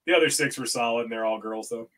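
Bright-voiced man in his fifties. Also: medium pitch, fast. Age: 50s. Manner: self-deprecating storyteller, laughs often. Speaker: guest, reptile breeder. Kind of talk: casual chat. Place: home room with green screen. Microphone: studio mic on video call.

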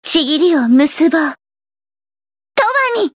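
Casting: very high-voiced teen gothic idol girl, anime game, grandiose yet shy, unhurried delivery. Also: darkish tone, no room sound, studio quality. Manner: grandiose, dramatic archaic declarations, slipping into shy sweetness. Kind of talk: anime game character voice lines.

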